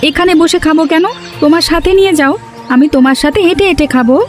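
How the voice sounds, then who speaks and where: A woman's voice: high-pitched, fast talker, faint music behind, lightly acted. A woman voicing a frightened, pleading little village boy in a cartoon. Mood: childlike grumbling. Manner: plaintive, pleading. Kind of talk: cartoon dub acting.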